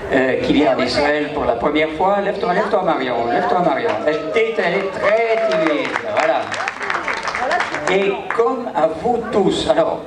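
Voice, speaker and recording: An older man from Egypt, speaking informally to an audience with wry, self-mocking humor. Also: on the high side. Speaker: congress participant introducing himself. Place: large echoey hall. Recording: echoey hall audio from far off. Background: audience murmur.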